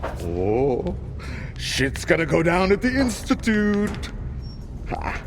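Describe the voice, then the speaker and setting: A man's voice: sits high, wildly swooping pitch, takes it slowly, noisy background, heavily acted. A man acting a talkative, wry and philosophical leader of zone stalkers in a video game, musing and teasing in a theatrical way. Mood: wry, intrigued. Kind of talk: dramatic video game dialogue. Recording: loud music under the voice.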